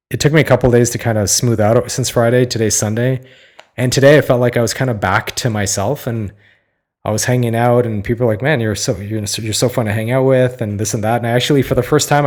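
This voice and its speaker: Hoarse, weary man with a low voice. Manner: halting, searching, with many restarts and fillers; weary, confessional. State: slightly hoarse. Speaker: podcast host. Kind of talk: candid solo podcast monologue.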